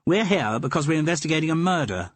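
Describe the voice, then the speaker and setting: A man voicing a bold, sharp young upper-class woman who talks briskly and with spirit. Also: on the high side, expressive, a little hiss or hum, pitched above the performer's own voice, close to an everyday voice.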